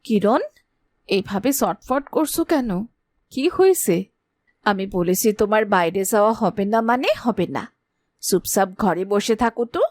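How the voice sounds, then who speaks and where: A woman's voice: mid-range voice, lively intonation, a little slow, a little mellow, dry recording. A woman plays a protective fairy-tale mother with a mid-range voice. She is firm, questioning and worried, recorded dry with no room sound. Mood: scolding. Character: strict, worried, protective mother of a young girl. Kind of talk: cartoon voice acting.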